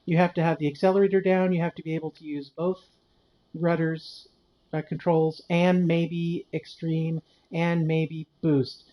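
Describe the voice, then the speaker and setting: A man in his late fifties with a fairly high-pitched voice, chatting casually and poking fun at himself while he streams a racing game. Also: measured pace. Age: 50s. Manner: relaxed and chatty, answers chat, self-deprecating. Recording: loud game music behind the voice. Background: game music and car engines.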